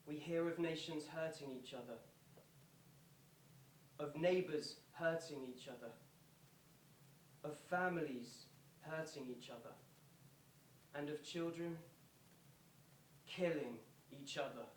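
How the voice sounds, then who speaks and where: An actor playing an earnest male pop star making a slow, pleading, repetitive theatrical speech to stadium football fans. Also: fairly high pitch. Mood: sorrowful. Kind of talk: theatrical public speech, reenacted from quoted address. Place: indoor performance venue, recorded from a distance. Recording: roomy, distant, noisy live theatre recording.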